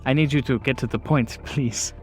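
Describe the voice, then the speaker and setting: A man's voice: mid-range voice, fairly even intonation, normal speed, a little mellow, faint music behind, lightly acted. A man voicing a skeptical, impatient doctor on a phone call in a tabletop game.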